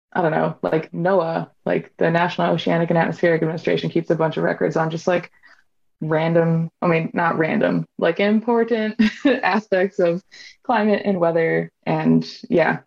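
A woman's voice: lowish voice, a little mellow. A woman in her twenties from Hawaii, a PhD student talking casually about her research, full of "like" fillers. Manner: chatty, many "like" fillers. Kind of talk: casual interview talk. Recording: dull lo-fi sound.

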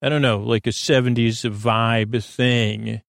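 A middle-aged man with a creaky voice hosts a sleep podcast, meandering haltingly and often interrupting himself with false starts. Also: mid-range voice, steady tone, no echo, studio quality. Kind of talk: rambling, meandering bedtime monologue.

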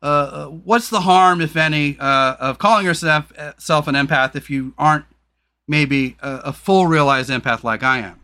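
A man's voice: normal speed, sharp tone. A man hosting a casual podcast conversation, talking frankly and swearing freely, rambling with lots of fillers. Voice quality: deep voice. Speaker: podcast host.

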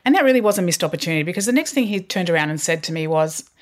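A woman's voice: lowish voice, expressive, rapid-fire, slight background noise. Podcast guest: middle-aged woman, communications leader, earnest, self-correcting delivery. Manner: earnest, with frequent restarts and fillers. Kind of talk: podcast interview, candid storytelling.

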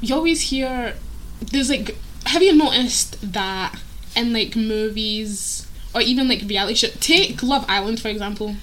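A woman's voice: fairly high pitch, fairly bright tone, slight background noise, low-quality recording. A young woman with a Glaswegian accent, chatting casually and often breaking into giggles. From Glasgow, Scotland. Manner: giggly, quick to laugh. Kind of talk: casual chat.

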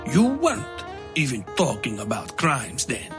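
A man voicing a tall, menacing knife-wielder, speaking in deliberate, formal phrases with cool taunts. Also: mid-range voice, wildly swooping pitch. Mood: condescending. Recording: music under the voice.

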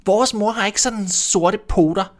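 A man voicing a group of small goat kids in a read-aloud fairy tale, shouting back in a shrill, defiant voice. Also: lightly acted. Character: seven frightened little goat kids.

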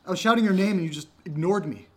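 A man's voice: high voice, racing, darkish tone, close to an everyday voice. An actor playing an alarmed, impatient young man in a naturalistic horror audio drama, questioning insistently. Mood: frantic, angry.

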